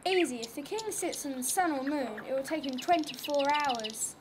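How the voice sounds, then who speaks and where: A clever storybook peasant girl of twelve, acted by a woman, with a high, assured voice. She explains things confidently, with faint noise behind. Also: expressive, normal speed. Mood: confident, instructing. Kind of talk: fairy tale character acting.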